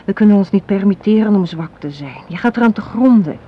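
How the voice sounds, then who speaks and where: A woman's voice: medium pitch, lively intonation, brisk, husky. An actress playing a hard-minded, cynical young woman in a Dutch radio play, arguing and lecturing with rhetorical questions. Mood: torn, firm. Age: young adult. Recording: dull, noisy old radio recording.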